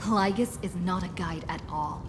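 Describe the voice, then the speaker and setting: A woman's voice: fairly low pitch, background noise, natural voice. A woman playing an earnest, resolute young heroine in a video game, explaining solemnly and with care. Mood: solemn, urgent. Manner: measured, solemn, careful explaining. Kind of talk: dramatic video game voice acting. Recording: long reverberant hall. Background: clear music.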